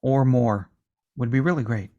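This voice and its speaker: A man co-hosting a laid-back music podcast, trading jokes with his partner and reading listener comments aloud. His pitch sits at an ordinary middle height for a man. He talks in a loose, chatty way, full of asides. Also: moderate pace, mellow, dull audio. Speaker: podcast co-host. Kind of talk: casual podcast banter.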